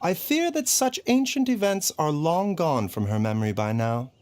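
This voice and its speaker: Composed, scholarly young physician, lively intonation. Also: male voice, on the high side. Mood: measured, grave. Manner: measured, formal, explanatory. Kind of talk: anime game voice acting.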